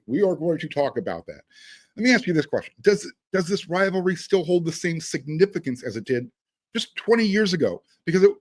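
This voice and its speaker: A man's voice: fairly high pitch, no room sound. A man hosting a sports show, asking questions in a conversational interview style.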